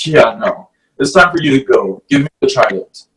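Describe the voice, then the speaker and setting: A man's voice: medium pitch, expressive, normal speed, fairly bright tone, far from the mic, close to an everyday voice, poor audio. An actor at a table read playing a stern, grumpy fish-man guardian with a dry, exasperated manner. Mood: urgent.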